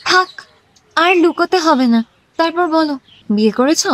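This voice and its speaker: A woman's voice: very high voice, lively intonation, moderate pace, a bit bright, low-quality recording. A woman playing a playful, coy young woman in a romantic audio drama. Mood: playful teasing. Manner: teasing, coy. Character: playful, teasing young former schoolgirl, now working woman.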